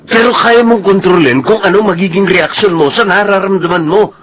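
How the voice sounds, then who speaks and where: A Filipino actor playing an exasperated, level-headed young boyfriend in a radio drama, given to lecturing. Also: male voice, high voice, moderate pace, fairly bright tone. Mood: reasoning.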